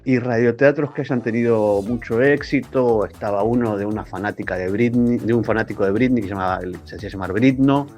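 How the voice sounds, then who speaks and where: A man's voice: mid-range voice, brisk, hardly any pauses, a little mellow, faint music behind. A man with an Argentine accent reminiscing casually in an interview about his radio work. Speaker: radio scriptwriter.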